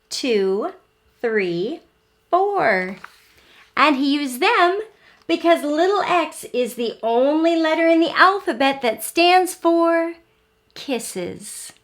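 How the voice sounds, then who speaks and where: High-voiced woman reading slowly to young children. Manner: expressive, asks the listener questions and pauses; simple, deliberate teacher talk for small children. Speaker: children's read-aloud host and teacher. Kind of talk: interactive storybook read-aloud for young children.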